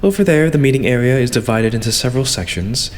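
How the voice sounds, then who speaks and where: A man playing a young idol butler in an anime-style fan dub, formal and courteous with a quietly condescending edge. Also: medium pitch, animated, a little hiss or hum, natural voice. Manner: formal, courteous, measured, servile phrasing.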